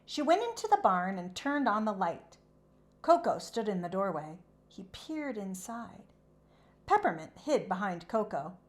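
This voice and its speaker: A woman storyteller reads a children's book aloud. Her intonation is animated and expressive, and she takes her time over the narration. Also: mid-range voice, a little slow.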